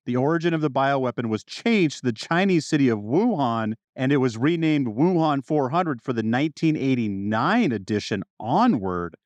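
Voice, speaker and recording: A male podcast host with a fairly high voice talks casually. His pitch moves around a lot, and the sound is dry with little room to it. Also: dry recording. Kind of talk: casual chat.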